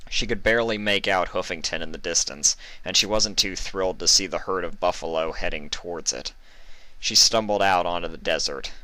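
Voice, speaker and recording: A man's voice: low-pitched, pushed and bright, dry recording, poor audio. A man reading fan fiction aloud as scripted story narration.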